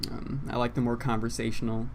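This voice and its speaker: Young man, mid-pitched voice, meandering casual chat, self-deprecating, condenser mic, faint background hiss. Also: flat delivery, fairly quick. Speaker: YouTuber. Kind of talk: unscripted rambling monologue. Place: home room, seated at computer.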